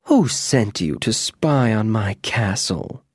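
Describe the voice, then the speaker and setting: A sly old storybook witch who speaks slowly in a hushed, silky voice, as a male narrator puts her on. Her lines are quiet and unhurried, close and dry. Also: fairly low pitch, animated, husky, dry recording, full character voice.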